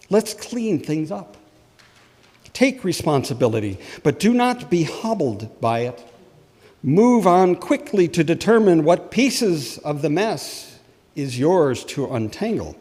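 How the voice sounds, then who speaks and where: A man playing a solemn, impassioned ancient Hebrew prophet, delivering a scripted sermon in measured, emphatic oratory. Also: on the high side, darkish tone, heavily acted. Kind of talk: dramatic first-person sermon read from a script. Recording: long hall reverb.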